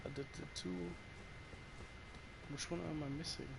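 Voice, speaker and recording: A man's voice: on the high side, fairly even intonation, normal speed, creaky, background noise. A man with a German accent chatting casually to his viewers while he plays, swearing when the game frustrates him. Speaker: streamer. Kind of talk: casual gameplay commentary to chat.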